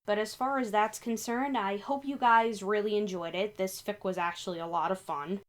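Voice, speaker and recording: A woman's voice: mid-range voice, fairly even intonation, moderate pace. A woman speaking as narrator and host of a fan fiction reading. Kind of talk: story narration and casual outro chat.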